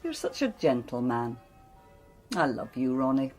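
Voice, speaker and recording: An actress playing an affectionate, teasing, well-bred middle-aged wife in a radio drama. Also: female voice, a bass voice, very animated, measured pace, soft background music, exaggerated voice. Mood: tender, playful. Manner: gently teasing, coaxing. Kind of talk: naturalistic British radio drama acting.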